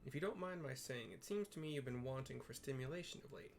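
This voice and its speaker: A man's voice: mid-range voice, lively intonation, fast, creaky. A man voicing a florid explorer in a fantasy game, urging on adventurers with theatrical, old-fashioned turns of phrase. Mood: eager, persuasive.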